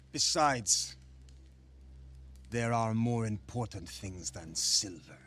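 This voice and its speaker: Hot-headed young Viking, forceful voice. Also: male voice, on the low side, animated, drawn-out words, heavily acted. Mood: wry, earnest. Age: young adult. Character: hot-headed young Viking avenging his murdered father. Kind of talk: cinematic video game acting.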